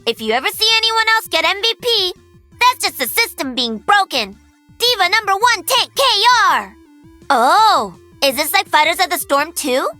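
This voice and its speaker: A woman's voice: very high voice, sing-song, normal speed, pushed and bright, faint music behind, full character voice. A woman playing a cocky, playful young pro-gamer mech pilot in a video game, cheeky and bubbly. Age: young adult. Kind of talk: video game character voice lines.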